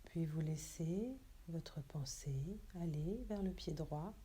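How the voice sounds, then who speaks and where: Soft mellow lady doing a guided yoga meditation, kinda low voice, hushed and soothing, super unhurried. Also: breathy edge. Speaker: yoga teacher guiding meditation.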